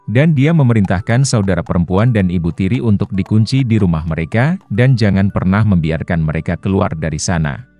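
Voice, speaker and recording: A man's voice: deep voice, dark tone. A man reading a scripted fairy tale as a storyteller for children, with music behind him. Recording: roomy echo under faint music. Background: faint background music.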